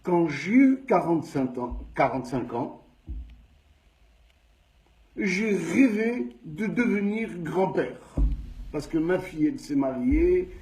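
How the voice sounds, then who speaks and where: A man in his sixties with a Tunisian French accent, telling his life story slowly, stressing each step. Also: fairly high pitch, poor audio. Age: 60s. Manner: slow, measured recounting with lively emphasis. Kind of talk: personal storytelling monologue.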